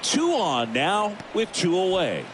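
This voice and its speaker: A man's voice: high voice, big pitch swings, takes it slowly, a crowd in the background, heavily acted. A man playing a baseball play-by-play announcer, calling the action in a video game's sports commentary. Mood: steady, attentive.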